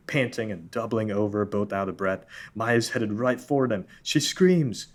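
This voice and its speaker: Low-voiced man reading scene directions. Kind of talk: table read narration.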